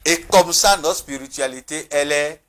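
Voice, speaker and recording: A man speaking as a traditional spiritual guest on a TV talk show, earnest and emphatic, with pauses and restarts. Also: fairly high pitch, a bit bright.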